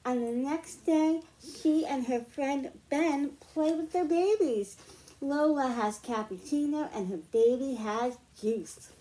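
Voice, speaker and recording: A middle-aged woman reading picture books aloud to young children, explaining slowly and clearly at home. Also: sits high, dark tone, poor audio. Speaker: children's librarian. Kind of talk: storytime read-aloud for kids.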